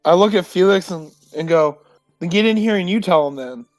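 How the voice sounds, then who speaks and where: Blunt, slightly high-voiced man, close and dry. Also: a little fast, no echo, soft background music, low-quality recording. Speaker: player in a tabletop game. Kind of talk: casual in-character roleplay.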